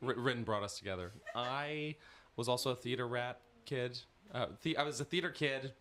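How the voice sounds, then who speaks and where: A man's voice: mid-range voice, normal speed, noisy background. Tired, rambling man on a panel. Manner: rambling, self-deprecating, laughs often. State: tired, losing his voice. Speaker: voice actor and content creator on a panel. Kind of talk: casual panel chat.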